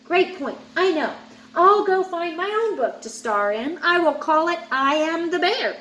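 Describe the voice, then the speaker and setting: A woman's voice: very high-pitched, lively intonation, a little slow, fairly bright tone, roomy sound, slight background noise, pitched above the performer's own voice, full character voice. A woman voicing an upbeat, self-important, breezy bear from a children's storybook. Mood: smug delight. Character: self-absorbed, upbeat bear posing as a shark.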